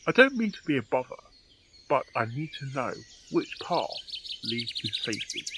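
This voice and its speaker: A man voicing an earnest, cautious male adventurer in a storybook reading, speaking in a measured, deliberate, polite way. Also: medium pitch, sing-song, sharp tone, voice pushed up. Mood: polite, questioning. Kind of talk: storybook character voice. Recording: echoey, noisy, distant-sounding.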